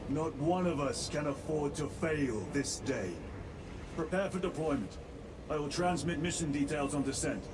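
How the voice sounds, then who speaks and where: An actor playing a resolute male space marine lieutenant who speaks in a clipped, measured way. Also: a little high, noisy background, lightly acted. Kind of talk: video game cutscene acting.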